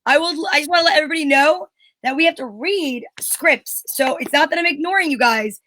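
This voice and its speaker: Very high-voiced woman, noisy recording. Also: a little fast, sharp tone. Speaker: co-host of a live show. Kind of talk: casual, unscripted banter.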